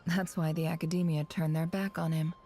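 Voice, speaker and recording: Game spy: guarded, cynical, low mellow tone, measured wry delivery, faint music underneath. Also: female voice, flat delivery. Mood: brooding. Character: sly, guarded, cynical female intelligence operative. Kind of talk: dramatic video game voice acting.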